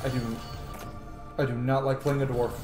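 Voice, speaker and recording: A man's voice: mid-range voice, level tone, normal speed, echoey room. A man giving casual, informal gameplay commentary in short, sometimes sweary asides. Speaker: video game streamer. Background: game music.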